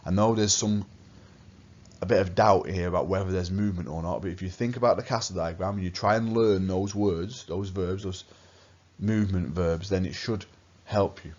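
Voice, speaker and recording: Man, teacher from England, very low voice, patient step-by-step explaining, muffled lo-fi audio. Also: steady tone, moderate pace, darkish tone. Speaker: French teacher. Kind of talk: recorded lesson narration over slides.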